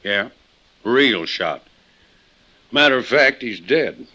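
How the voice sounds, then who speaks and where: An actor playing a shrewd, laconic small-town police chief with folksy phrasing in an old-time radio detective drama. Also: male voice, medium pitch, lively intonation, slow pace, sharp tone, heavily acted.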